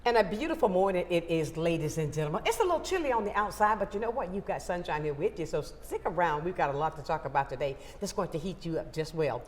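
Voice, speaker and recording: An upbeat woman hosting a local morning talk show, her low-set voice swinging widely in pitch as she leans into key words. The studio adds a long reverb tail behind her. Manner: warm, emphatic, upbeat.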